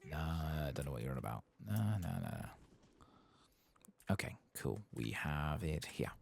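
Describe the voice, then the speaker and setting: A man giving soft-spoken ASMR commentary over a video game, murmuring and sometimes slipping toward a whisper. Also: rumbling low, sing-song, measured pace. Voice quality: soft, husky, gravelly. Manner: murmuring to himself, partly whispering.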